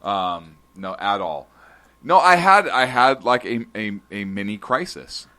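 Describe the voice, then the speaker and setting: A man's voice: lowish voice, animated, a little slow, pushed and bright. A man co-hosting a radio talk show, cracking jokes and often stumbling into restarts. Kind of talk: joking political banter.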